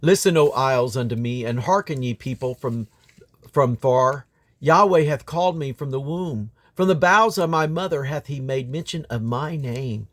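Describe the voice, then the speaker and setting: A man reading the Bible aloud in a solemn, declamatory way, with deliberate phrasing and emphatic stresses. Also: mid-range voice, fairly bright tone. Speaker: scripture reader.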